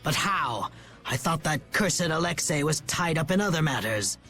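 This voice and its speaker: High-pitched pompous scheming villain. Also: male voice, animated, normal speed, bright, forceful tone, faint music behind. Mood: shocked, indignant. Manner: theatrical, declamatory, indignant. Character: scheming pompous older male villain, a councilman. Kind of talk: video game voice acting.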